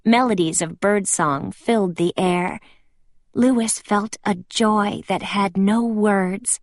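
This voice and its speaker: A woman reading a children's storybook aloud with expressive, deliberate phrasing. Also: mid-range voice, dry recording, studio quality.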